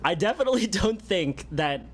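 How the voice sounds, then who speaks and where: A man's voice: high-pitched, expressive, normal speed. A man hosting an interview, asking long hesitant questions and correcting himself as he goes. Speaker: interviewer, channel host. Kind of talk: casual interview chat. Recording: faint background noise.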